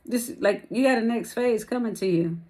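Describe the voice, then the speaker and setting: A woman's voice: a little high, moderate pace, a little mellow, low-quality recording. A woman giving a casual, rambling tarot reading to her viewers while losing her voice. She talks in slang and calls her listeners baby and y'all. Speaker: tarot reader.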